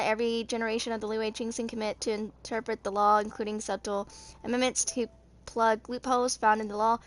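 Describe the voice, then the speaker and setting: Woman, 20s, amateur narrator. Higher-pitched, monotone delivery, halting read of fantasy game lore. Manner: halting, stumbling reading. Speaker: fan video creator. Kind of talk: reading game lore aloud.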